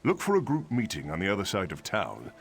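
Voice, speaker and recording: Deep, haughty elven guard captain. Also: male voice, huge pitch swings, brisk, slight background noise, heavily acted. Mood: earnest, businesslike. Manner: curt, condescending, emphatic. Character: brusque male dark elf guard captain, dutiful, haughty. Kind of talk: video game dialogue acting.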